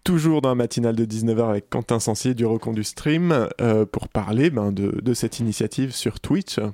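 A man's voice: mid-range voice, normal speed, dark tone, slight background noise. A man presenting a live radio show as its host in a studio.

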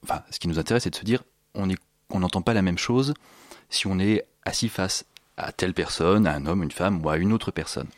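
Low-voiced Frenchman explaining his work. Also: fairly quick, dry recording, clean studio sound. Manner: hesitant, searching phrasing with repeated words. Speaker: interview guest, sound installation creator. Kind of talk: spontaneous interview explanation.

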